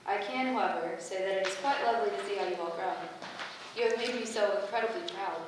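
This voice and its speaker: A woman playing a calm, motherly, goddess-like being with a stately, formal way of speaking, in a staged play reading. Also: mid-range voice, fast talker, lightly acted. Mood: tender, stately. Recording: echoey room, distant mic, background noise.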